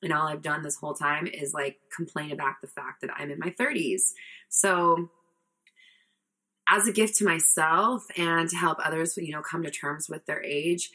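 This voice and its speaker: A woman's voice: deep voice, fairly even intonation, moderate pace, pushed and bright, poor audio. A woman in her thirties hosting her own podcast, talking candidly and casually, rambling with lots of "like" and the odd swear word. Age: 30s. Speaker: podcast host. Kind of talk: casual personal talk to camera.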